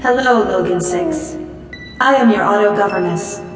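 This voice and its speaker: A woman's voice: mid-range voice, lively intonation, normal speed, lightly acted. A woman playing a soothing robotic nursemaid cooing to a baby in a science fiction radio drama. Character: soothing robotic nursemaid, female, tending a newborn. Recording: echoey hall, distant, loud music bed.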